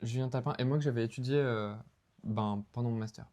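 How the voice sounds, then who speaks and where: A man's voice: mid-range voice, a little fast, dark tone. A young man, a guest, chatting casually about books in a dry, teasing way.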